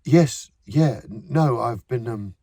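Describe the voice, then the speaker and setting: A man voicing a nervous young man who sounds overly bright and a little awkward. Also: mid-range voice, expressive, slow pace, slightly rough, dry recording, pitched above the performer's own voice, heavily acted.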